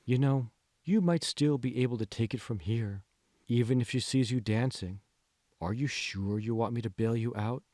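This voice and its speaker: A man voicing a smug, manipulative AI assistant in an audiobook, speaking slowly with cool, condescending control. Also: medium pitch, mellow, no echo, studio quality, close to an everyday voice. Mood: smug, measured. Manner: slow, condescending, coolly controlling. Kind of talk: audiobook character voice.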